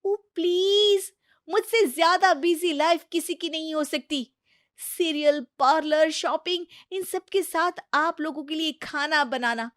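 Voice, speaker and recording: A woman voicing an arrogant, lazy young wife in a cartoon, loud and whiny as she complains. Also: high voice, a little slow, no room sound, clean studio sound, voice pushed up. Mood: scornful. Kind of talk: cartoon voice acting.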